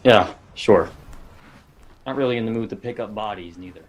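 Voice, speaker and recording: An actor playing a jaded, irritable police detective in a fan-made drama dialogue. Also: male voice, fairly low pitch, normal speed, a little hiss or hum, natural voice. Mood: exasperated.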